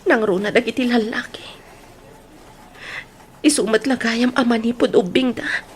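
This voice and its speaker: A woman's voice: fairly high pitch, normal speed, slightly rough. A woman playing an anxious, protective mother in an Ilocano radio drama, speaking emotionally and pleadingly with an Ilocano accent. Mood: sorrowful. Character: anxious, protective mother pleading over her sick sons.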